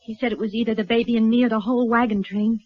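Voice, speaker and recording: A woman's voice: fairly high pitch, fairly even intonation, very fast, close to an everyday voice. An actress playing a desperate, defiant American frontier mother in a radio western, talking in a terse, guarded, rustic way. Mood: weary, hopeless. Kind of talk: 1950s radio western acting. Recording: dull vintage radio recording.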